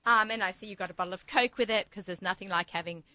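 An Australian woman you'd hear hosting a cooking chat on a small community radio station, sharp bright voice, chatty and enthusiastic, dry slightly dull sound. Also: mid-range voice, quick pace, dry recording. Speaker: host of a food talk show. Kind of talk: casual chatty radio interview. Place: studio.

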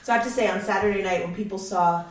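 A woman's voice: mid-range voice, very fast, far from the mic. A woman in her forties hosting a radio interview in a chatty, upbeat way. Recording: roomy, a bit off-mic.

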